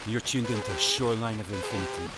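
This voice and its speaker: A man's voice: lowish voice, quick pace. A man performing as a spoken-word poet, delivering rhythmic lines in a performance style. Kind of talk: rhythmic spoken-word poetry over music. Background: loud hip hop backing music.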